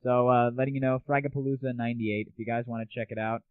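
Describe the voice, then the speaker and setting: A tinny, phone-like recording carries a man hosting a gaming show. He talks in a casual, irreverent monologue full of slang and crude cheek. His mid-pitched voice sounds close and dry. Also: fairly even intonation, quick pace, clear, resonant tone, no room sound. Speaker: host of an online gaming audio show. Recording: tinny, narrowband phone-like audio.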